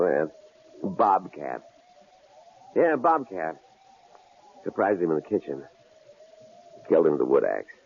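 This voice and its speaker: An actor playing a shrewd, calm middle-aged psychology professor who posed as a killer, in an old radio drama, with a very deep, gravelly voice. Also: male voice, exaggerated voice. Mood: breezy. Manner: calm, measured, dryly amused. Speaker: university psychology department head. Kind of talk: 1950s-70s radio drama acting. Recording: tinny, lo-fi old radio recording with faint hiss.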